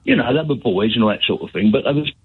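An older man in his seventies calls in over the phone, his voice rough and husky. He talks bluntly, his pitch rising and falling a lot, and he circles back over his words as he pushes his view. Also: fast talker, narrow, tinny audio. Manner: blunt, animated, repeats himself. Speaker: caller. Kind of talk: phone-in radio call. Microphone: telephone.

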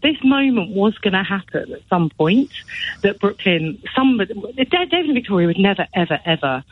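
A woman on a radio show tells showbiz news in a chatty, gossipy way, her pitch swinging widely. Her voice is husky and dry. The line sounds dull and lo-fi. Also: sing-song, brisk, dry recording. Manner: chatty, gossipy, many restarts. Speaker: showbiz editor guest. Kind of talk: casual radio chat.

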